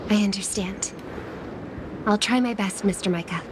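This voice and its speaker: A woman playing a gentle, earnest young singer in a video game, polite, poised and formal. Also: medium pitch, background noise. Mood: polite concern. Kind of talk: video game character acting. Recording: game music behind the voice.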